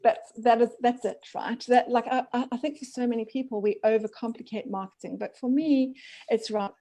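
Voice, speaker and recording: A woman's voice: on the high side, brisk. A woman in her forties from Zimbabwe, a business coach, talking as a guest on a video call from a home office. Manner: animated, laughs often, gestures. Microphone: video call, headset wire.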